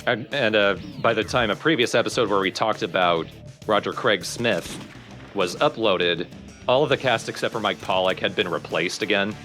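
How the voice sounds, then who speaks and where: A man's voice: on the low side, moderate pace, a bit bright, soft background music. A man co-hosting a let's play, giving opinions in casual conversational game commentary. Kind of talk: casual gaming commentary chat.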